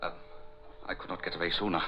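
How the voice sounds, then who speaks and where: Deep-voiced, resentful German butler spy. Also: male voice, fairly even intonation, fast, sharp tone, soft background music. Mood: secretive. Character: resentful German butler secretly spying for the Nazis. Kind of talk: 1940s radio drama acting. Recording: dull lo-fi old radio, faint noise.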